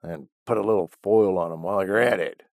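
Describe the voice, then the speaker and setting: A grumpy old ranch hand with a gruff, slow rural drawl, as an audiobook narrator puts him on. His voice sits low and terse, clipped and dry. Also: expressive, no echo, exaggerated voice. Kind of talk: audiobook character voice.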